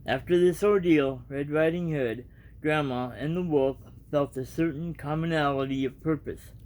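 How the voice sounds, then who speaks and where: A man reading aloud and giving his reaction as a vlogger, in an informal, halting, rambling way. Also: fairly high pitch, a little mellow, rough recording.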